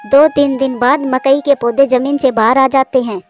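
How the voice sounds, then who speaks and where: A woman's voice: sits high, fairly even intonation, normal speed, a little mellow, faint music behind. A woman reading scripted narration for a children's story.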